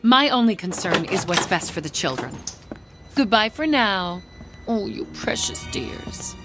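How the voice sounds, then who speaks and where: An actress playing an overbearing, disapproving aunt with an insistent, haughty manner in a radio drama. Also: female voice, mid-range voice, big pitch swings, a little slow. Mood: haughty, threatening. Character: overbearing, disapproving aunt fussing over bereaved children. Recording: studio radio play with music underneath.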